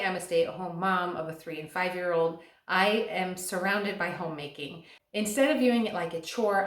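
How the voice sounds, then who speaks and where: Earnest woman in an echoey room. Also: on the low side. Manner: earnest and encouraging, slows to stress key words. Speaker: vlogger and stay-at-home mom. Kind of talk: scripted advice talk to camera.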